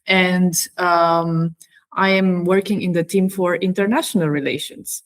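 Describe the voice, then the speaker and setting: A woman speaks as an interview guest. She explains things conversationally and in a measured way, pausing between phrases. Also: fairly low pitch, fairly even intonation. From Romania. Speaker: podcast guest, regional government EU funding professional.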